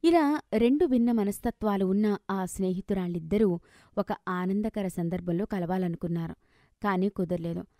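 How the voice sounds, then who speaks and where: A woman's voice: mid-range voice, normal speed, mellow, no room sound, studio quality. A woman narrator reading a scripted moral story.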